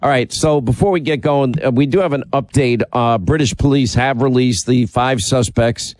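A man's voice: medium pitch, normal speed, darkish tone, no echo. A middle-aged man with a head cold, hosting a radio talk show and chatting in a rambling, self-deprecating way. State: has a cold, congested. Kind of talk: casual on-air banter and commentary.

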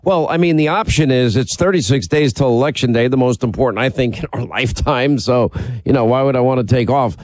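A man's voice: medium pitch, fairly quick, darkish tone, no echo. A middle-aged man with a head cold, hosting a radio talk show and chatting in a rambling, self-deprecating way. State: has a cold, congested. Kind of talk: casual on-air banter and commentary.

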